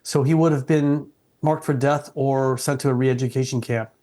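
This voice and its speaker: A man's voice: medium pitch, steady tone, moderate pace, mellow. A middle-aged man, born in Vietnam and speaking English, being interviewed and talking earnestly and respectfully, often restarting his sentences. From South Vietnam. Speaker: guest.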